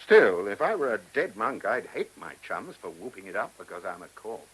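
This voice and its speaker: An actor in a radio play voicing a brusque, commanding chief inspector who questions with brisk authority, his voice slightly husky. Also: on the low side, very animated, a bit bright, heavily acted, rough recording. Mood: brisk, probing. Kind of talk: radio drama acting. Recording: hissy old radio recording.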